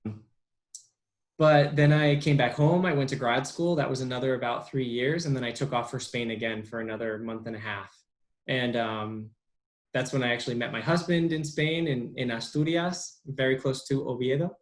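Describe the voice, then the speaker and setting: A young adult man as an interview guest, talking casually with many pauses and restarts. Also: medium pitch, fairly even intonation. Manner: halting, lots of ums and restarts. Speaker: interview guest, bilingual speech therapist.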